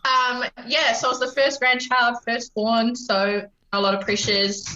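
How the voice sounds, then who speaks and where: A woman's voice: a little high, little pitch movement, a little slow, pushed and bright, echoey room, highs cut off. A woman in her twenties with a New Zealand accent, a podcast guest telling her life story openly and reflectively, with lots of "um" fillers. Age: 20s. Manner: open, reflective, many "um" fillers. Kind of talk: casual conversational storytelling.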